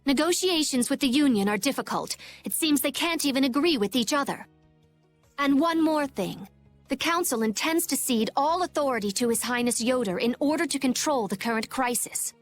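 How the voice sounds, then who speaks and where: A woman's voice: high-pitched, animated, normal speed, soft background music. A woman playing a stern, serious young knight lieutenant with a formal, clipped manner in a video game.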